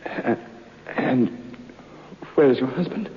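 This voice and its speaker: An actor playing a shaken man who tells a haunting tale in dramatic, halting radio drama style, with a gravelly, husky voice. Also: medium pitch, lively intonation. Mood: agitated, halting. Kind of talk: old radio horror drama acting and narration. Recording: dull vintage radio recording.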